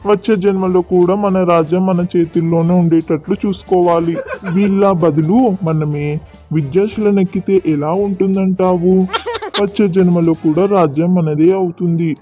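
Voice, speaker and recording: A man voices a stubborn storybook sage or king, formal and declamatory. The voice sits high for a man yet is soft-edged and mellow. The sound is slightly muffled, over faint music. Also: steady tone, normal speed, lightly acted. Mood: scheming. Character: shrewd, stubborn old guru and a gullible, demanding king. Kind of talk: dramatised children's folk tale.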